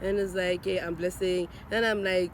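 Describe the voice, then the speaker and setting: A woman's voice: flat delivery, moderate pace. A young woman from Botswana with a Botswana accent, playfully telling how she met her boyfriend and teasing him as she acts out what each of them said. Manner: playful, teasing, acts out quotes with big pitch swings. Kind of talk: casual storytelling chat. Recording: noisy outdoor ambience.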